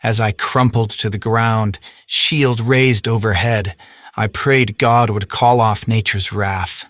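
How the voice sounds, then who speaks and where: A man's voice: lowish voice, fairly even intonation, slow, fairly bright tone, no room sound, studio quality. An actor playing an earnest young knight, recounting his tale in solemn, archaic language for an audio drama. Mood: earnest, awed. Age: young adult. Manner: formal, archaic, solemn storytelling. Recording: voice over orchestral music.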